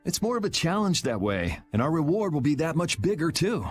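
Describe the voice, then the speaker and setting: A man voicing a cool, easygoing young swordsman in an animated role-playing video game, casual and matter-of-fact. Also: a little high, a little fast, slightly rough. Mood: teasing, encouraging. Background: faint background music.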